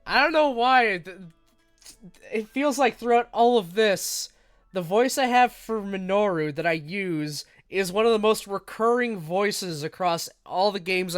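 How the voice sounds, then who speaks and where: A man's voice: high voice, dry recording, studio quality. A man streaming games, chatting casually in a rambling, self-deprecating way, with a stuffy nose and a lingering cough. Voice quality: self-described monotone voice. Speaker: game streamer.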